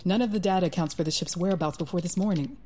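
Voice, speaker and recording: A woman voicing an earnest federal agent in an audio drama, her voice low and mellow. She pushes her case steadily, with light noise in the background. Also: racing, close to an everyday voice. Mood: insistent. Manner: earnest, insistent. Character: determined female federal agent.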